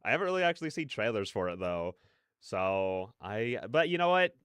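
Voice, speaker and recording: A man hosting a reaction video, giving casual, slangy and excitable commentary. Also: lowish voice, normal speed, clear, resonant tone, no echo, studio quality.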